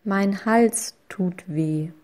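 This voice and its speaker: A woman reading short phrases slowly and clearly, saying each one twice for learners. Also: mid-range voice, darkish tone. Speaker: language lesson voice. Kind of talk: slow repeated phrase reading.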